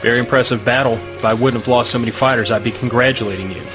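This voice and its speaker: An actor playing a shrewd, steady military commander in an audio drama, giving terse, authoritative orders. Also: male voice, medium pitch, fast, natural voice. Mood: firm, measured. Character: shrewd, steady male military commander in post-disaster America. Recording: loud dramatic music underneath.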